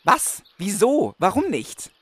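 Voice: medium pitch, huge pitch swings, takes it slowly, slightly rough, full character voice. An actor playing an earnest, exasperated young adventurer with a sarcastic, incredulous manner in a comic fantasy radio play.

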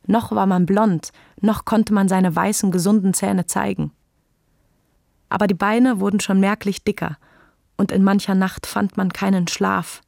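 Woman narrator with a creaky voice. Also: medium pitch, steady tone, a little slow, a little mellow, no echo, clean studio sound. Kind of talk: scripted literary narration for a radio play.

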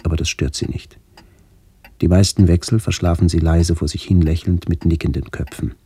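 A reflective middle-aged man tells a literary radio story. His voice is very low and husky, with a gravelly edge, and his delivery is measured and contemplative. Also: fairly even intonation, warm tone, full character voice. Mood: pensive. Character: reflective man visiting his ageing family. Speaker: narrator. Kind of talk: literary radio play narration.

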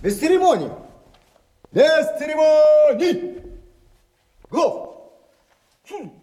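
An actor playing a jovial, scheming card sharp in a theatrical audio play, expansive and hearty with drawn-out exclamations. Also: male voice, very high voice, very animated, heavily acted. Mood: boisterous, hearty. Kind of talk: theatrical radio play acting. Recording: roomy stage sound with music underneath.

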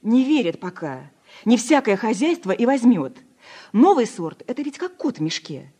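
An actress playing a weary, anxious woman crop breeder in a radio play, earnest and hesitant, with a husky, breathy voice. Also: fairly high pitch, big pitch swings, moderate pace, warm tone. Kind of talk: Soviet radio play acting.

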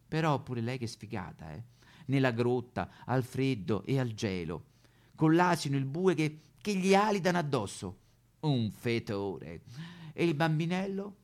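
A man's voice: medium pitch, darkish tone. A man playing an aggrieved, neglected female Madonna statue in a satirical stage monologue, plaintive and melodramatic with a Roman accent. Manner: melodramatic, plaintive, sudden swoops into wailing. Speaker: comic actor.

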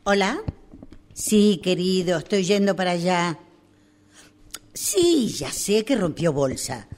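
A woman playing a worried, reassuring midwife who coaches a birth by phone in a radio drama, with an Argentine Spanish accent. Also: mid-range voice. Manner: urgent, reassuring, instructing. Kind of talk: radio drama acting, one side of a phone call.

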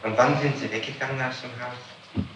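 A man's voice: medium pitch, fairly even intonation, moderate pace. Probing male interrogator, distant and very echoey. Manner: probing, insistent, challenging. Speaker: police interrogator. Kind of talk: formal interrogation questioning. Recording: very echoey, distant, noisy archival tape.

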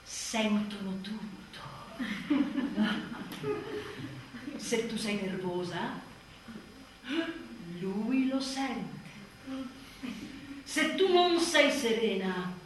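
A woman's voice: medium pitch, extremely slow, long pauses. An actress playing an exhausted, sardonic new mother in a theatrical radio monologue, emphatic and full of sarcasm. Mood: tense, restrained. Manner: emphatic, sarcastic, mimicking others mockingly. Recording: echoey room, distant mic, faint noise.

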